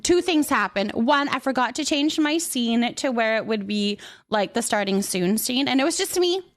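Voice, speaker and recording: A woman with a high-pitched voice hosts a casual livestream. She is chatty and bubbly, addressing her viewers with affection as she greets them. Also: animated, normal speed. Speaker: live streamer hosting.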